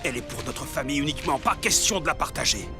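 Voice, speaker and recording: A greedy, secretive man talks in a moderately high voice. He is possessive and scheming, a cartoon character heard over clear music. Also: expressive, fast talker. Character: greedy, secretive adult son of Noah. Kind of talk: dubbed children's Bible cartoon.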